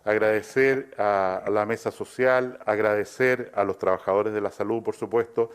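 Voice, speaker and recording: Man, government official, formal press conference answer, halting, hesitant. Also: fairly low pitch, steady tone, smooth.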